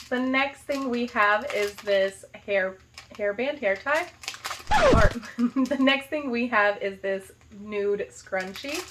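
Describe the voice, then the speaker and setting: A young woman from the United States excitedly unboxing a gift box in a casual vlog, gushing and dropping lots of "like". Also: a little high, slight background noise. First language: not Arabic. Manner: gushing, enthusiastic, lots of "like" and "so cute". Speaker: vlogger, high school teacher.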